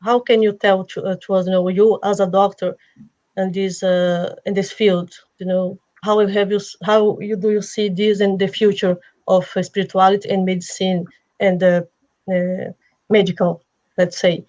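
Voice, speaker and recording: A woman hosting a video call panel. She asks long questions haltingly, with many fillers and restarts. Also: mid-range voice, little pitch movement, a little mellow, lo-fi sound. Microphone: video call webcam.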